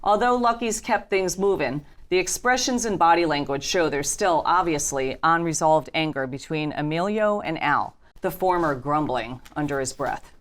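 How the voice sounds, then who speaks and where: Lowish-voiced woman narrating a script. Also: normal speed, few pauses. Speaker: narrator reading stage directions. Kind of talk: scripted narration at a table reading.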